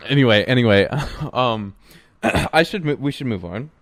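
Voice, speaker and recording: Young male podcast co-host, giggly and rambling, animated pitch, dry close mic. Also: measured pace, creaky, no echo. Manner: giggly, rambling, laughs often. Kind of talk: casual chat.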